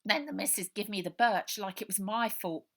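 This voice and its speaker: A woman's voice: mid-range voice, moderate pace, fairly bright tone, natural voice. A woman voicing a frightened, streetwise ten-year-old orphan boy with a working-class English accent, in earnest colloquial speech for a storybook. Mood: frightened, bitter.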